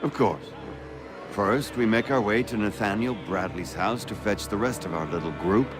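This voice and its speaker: An actor playing a confident colonial rebel leader in a video game, with a breezy, genial and urbane manner. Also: male voice, on the low side, normal speed, music in the background, close to an everyday voice. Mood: brisk, assured.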